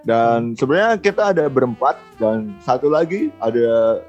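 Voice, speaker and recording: A man's voice: medium pitch, expressive, moderate pace, darkish tone, soft background music. A man with an Indonesian accent chatting casually as a co-host, joking and a little hesitant, on a dull lo-fi recording. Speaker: trainee podcast co-host. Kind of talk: casual chat.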